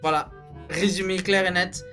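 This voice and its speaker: A man with a noticeably high voice chats casually as he co-hosts a cartoon ranking. His delivery is informal and full of slang, with plenty of enthusiasm. Light music plays underneath. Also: steady tone, moderate pace, bright, forceful tone. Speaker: co-host of a video channel.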